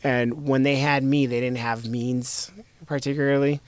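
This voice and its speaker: A man in his thirties from the United States, an investor guest talking reflectively in an interview, in a measured way with repeated starts. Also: mid-range voice, level tone, dry recording. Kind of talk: conversational interview.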